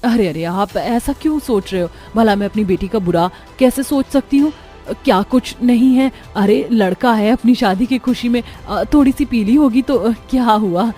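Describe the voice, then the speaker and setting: A woman's voice: on the high side, normal speed, dark tone, faint music behind, lightly acted. A woman voicing a melodramatic middle-aged stepmother in a cartoon, scheming and doting, defensive and pleading.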